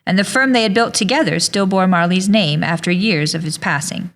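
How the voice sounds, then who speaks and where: A woman's voice: fairly low pitch, a little fast. A woman narrating an audio drama in a scripted storybook style.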